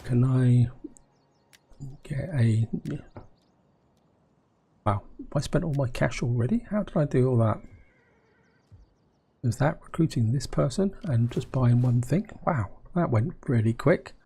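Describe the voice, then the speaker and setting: A hoarse man with a cold, musing aloud as he plays a strategy game, often asking himself questions and speaking to his viewers. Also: mid-range voice, animated, a little slow, pauses often, mellow, a little hiss or hum. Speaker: gameplay commentator.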